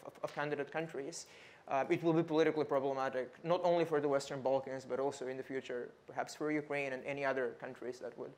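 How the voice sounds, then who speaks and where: A young man from North Macedonia speaking as a researcher on a panel, measured, with frequent fillers and repeated words. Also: mid-range voice, slight background noise. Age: young adult. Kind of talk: panel talk.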